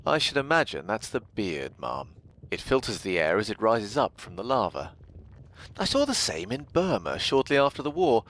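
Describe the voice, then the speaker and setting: An actor playing an unflappable, deferential butler in a comic audio sketch, formal, deadpan and drily understated. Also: male voice, lowish voice, moderate pace, a little hiss or hum, poor audio. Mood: deadpan, earnest. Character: unflappable, deferential male butler to a genteel hostess.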